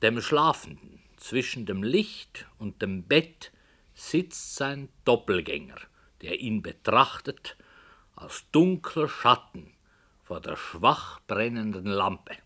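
An actor playing a brooding, hesitant author who tells a dark story in a slow, hushed voice that sometimes drops to a whisper, in 1960s radio play style. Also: male voice, lowish voice, very animated, full character voice. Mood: musing.

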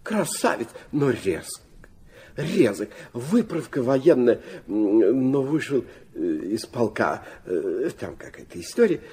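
Radio drama: genial old dithering prince, slow, hesitant, swooping intonation, husky voice. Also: male voice, mid-range voice, big pitch swings, mellow, heavily acted. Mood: musing, dithering. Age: older. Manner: halting, mumbling hesitations, French endearments, swooping exclamations. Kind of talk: Russian radio play dialogue.

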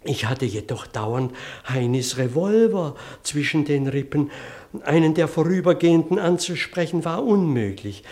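A man's voice: a little high, expressive, darkish tone. An actor playing an earnest, distressed male country vicar who tells his story theatrically in a radio play. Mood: grave, urgent. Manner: theatrical, solemn, deliberate storytelling. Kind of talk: German radio play acting.

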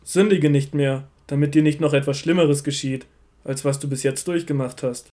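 A solemn, authoritative male teacher played by an actor in a Bible audio drama. His voice sits a little high for a man, and he declares his words earnestly, with calm assurance. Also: normal speed, close to an everyday voice. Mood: firm.

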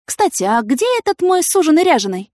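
A woman's voice: high voice, sing-song, brisk, darkish tone, no echo, clean studio sound, voice pushed up. A woman voicing a vain, impulsive, dramatic young woman in an audiobook, with theatrical, indignant exclamations. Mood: sassy, defiant. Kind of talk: audiobook character acting.

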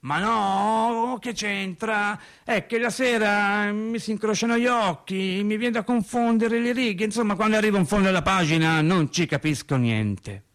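An actor playing an unread, plainspoken factory husband, telling his story in an animated, colloquial radio-theatre monologue with hesitant repetitions. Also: high-pitched, normal speed, few pauses. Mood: defensive.

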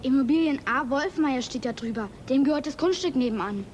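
An actress in a radio play playing a helpful, matter-of-fact youngster. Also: female voice, sits high, a little fast, background noise, lightly acted. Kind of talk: German radio play acting.

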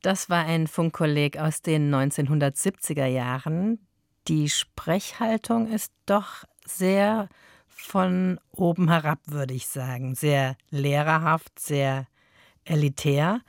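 A woman's voice: low voice, fairly even intonation, slow pace, no room sound, studio quality. A woman interviewing in a conversational radio style.

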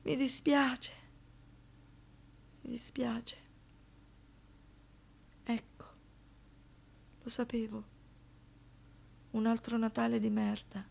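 An actress playing an anguished, defiant survivor in a theatrical radio monologue, moving between hushed murmurs and impassioned outbursts. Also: female voice, on the high side, steady tone, very slow, creaky, long pauses, breathy edge, full character voice. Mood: bitter, broken resignation. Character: anguished, defiant woman, survivor of a brutal assault.

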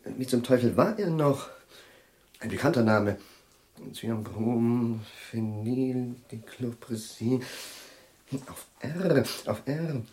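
Actor as jittery, absent-minded professor. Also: male voice, mid-range voice, a little mellow, natural voice. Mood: flustered. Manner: halting, groping for words, formally polite. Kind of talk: German radio play acting.

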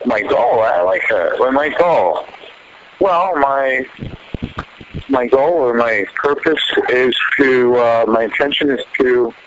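A man calling in by phone, speaking in a halting, rambling way and often reworking his phrases. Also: mid-range voice. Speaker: caller to a prayer line. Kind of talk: unscripted phone conversation. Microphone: telephone. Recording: muffled, noisy phone call recording.

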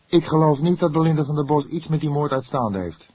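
A man voicing a younger male detective in an audiobook, excitable, emphatic and sceptical. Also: fairly high pitch, fairly quick, husky, no room sound, voice pushed up. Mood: doubtful. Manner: incredulous, emphatic.

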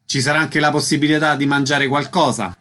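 A man's voice: on the high side, fairly even intonation, fairly quick, pushed and bright, slight background noise. A male radio host asking short, direct questions and closing segments.

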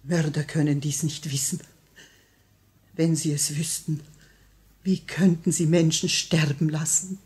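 An actress playing a proud, desperate widowed grand duchess in a 1950 radio play, solemn, intense and mournful. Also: female voice, low-pitched, level tone, a little slow, pauses often, a little mellow, husky, heavily acted. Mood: haunted.

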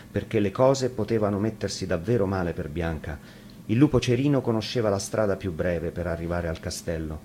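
A man's voice: low voice, darkish tone, a little hiss or hum. A man narrating a scripted fairy tale as a dramatic storyteller.

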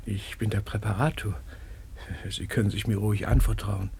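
A man's voice: on the low side, fairly even intonation, normal speed, creaky, darkish tone, husky, full character voice. A man playing a puzzled, formal anatomy lab preparator in a radio play, hesitant and reserved. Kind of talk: naturalistic radio drama dialogue. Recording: noticeable background noise.

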